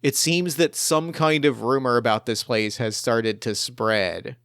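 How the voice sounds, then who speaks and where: A man improvising narration as game master of a tabletop roleplaying game, speaking haltingly and repeating phrases as he builds his descriptions. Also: medium pitch, creaky, a bit bright, no room sound, clean studio sound.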